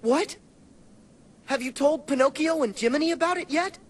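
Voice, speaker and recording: A man voicing a spirited, good-hearted teenage boy hero in an animated video game, speaking earnestly. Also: very high voice, moderate pace. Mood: alarmed. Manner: earnest, eager. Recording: game music behind the voice.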